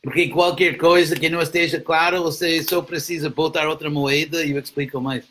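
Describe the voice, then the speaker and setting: A man giving a spiritual lecture and answering questions, unhurried and explanatory with a gentle humor. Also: on the high side, fairly even intonation, slight background noise. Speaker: spiritual teacher.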